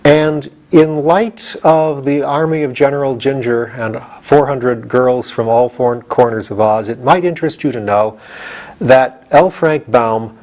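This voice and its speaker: Male audiobook reader, medium pitch, expressive scripted storytelling. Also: unhurried.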